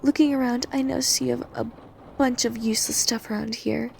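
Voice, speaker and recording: Over faint hiss and noise comes an obsessive, hungry vampire woman, played by a woman, in an intimate ASMR roleplay. Her voice is soft-toned and mellow with heavy fry, breathy and prone to whispering, while staying domineering and possessive. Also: on the high side, fairly even intonation, brisk. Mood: possessive, coaxing. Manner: breathy intimate delivery, often dropping to whispers. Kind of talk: ASMR roleplay audio drama.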